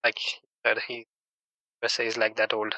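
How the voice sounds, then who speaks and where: A man's voice: lowish voice, monotone, fairly quick, sharp tone, no room sound, rough recording. A man from India, speaking as an in a casual podcast chat, laughing often and searching for words. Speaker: podcast host.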